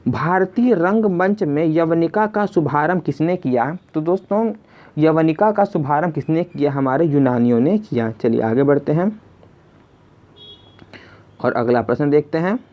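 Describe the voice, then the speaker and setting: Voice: mid-range voice, animated, a little slow, highs cut off. A teacher narrating an exam lesson in question-and-answer form, repeating questions and addressing listeners as friends. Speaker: quiz teacher.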